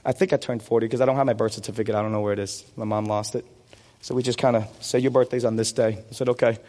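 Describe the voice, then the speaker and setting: A man's voice: fairly low pitch, steady tone, fast, darkish tone. A man in his forties, a native Vietnamese speaker, preaching conversationally with personal stories and questions he answers himself. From United States. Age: 40s. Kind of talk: sermon with personal anecdotes. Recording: long hall reverb.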